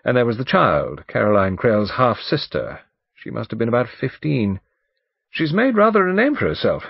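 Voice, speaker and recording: A man's voice: mid-range voice, big pitch swings, normal speed, gravelly, breathy edge, dry recording, lo-fi sound, heavily acted. A man voicing a bluff, urbane and overbearing English barrister in an audiobook, breezy, emphatic and chatty. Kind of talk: audiobook character dialogue.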